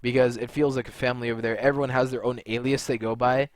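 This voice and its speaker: A man's voice: medium pitch, little pitch movement, fast, no room sound. A young man from California talking in a rambling, blunt monologue, full of fillers and restarts. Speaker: video creator and gamer. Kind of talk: unscripted monologue to camera.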